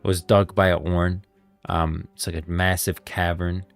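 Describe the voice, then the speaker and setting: Low-voiced man streaming over background music. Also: little pitch movement, moderate pace, creaky. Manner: enthusiastic, emphatic, opinionated. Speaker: streamer and game lore commentator. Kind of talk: casual livestream commentary.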